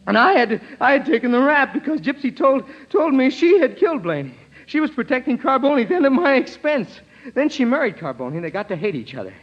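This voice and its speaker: An actor playing an overwrought, stammering ex-convict bent on revenge in an old-time radio crime drama. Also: male voice, very high voice, very animated, fairly quick, hardly any pauses, exaggerated voice. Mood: hysterical. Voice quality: nervous, broken laughter. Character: hysterical wronged male ex-convict, desperate and vengeful. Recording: dull vintage radio recording.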